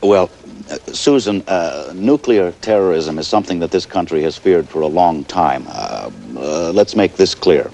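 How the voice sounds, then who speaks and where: A man's voice: low voice, lively intonation, moderate pace, a little mellow. An actor playing a sober, cautious male news anchor who speaks hesitantly in a realistic television bulletin drama.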